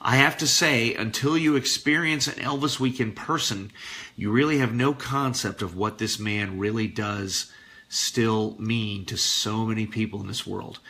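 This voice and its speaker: A man's voice: fairly low pitch, steady tone, a little slow, bright, forceful tone. A man hosting a radio show, reading a listener's letter aloud expressively with the odd aside.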